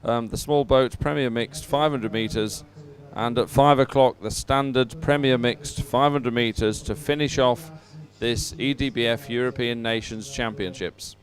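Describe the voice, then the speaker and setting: A man's voice: mid-range voice, fairly even intonation, unhurried, few pauses. A man presenting live coverage of a sporting event to viewers, talking as a broadcast host.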